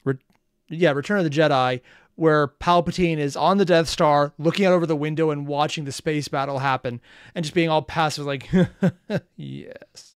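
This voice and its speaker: A man's voice: on the high side, fairly even intonation, brisk, clear, resonant tone, no echo, studio quality. A man hosting a reaction video with casual, rambling commentary, full of slang and wry asides to viewers.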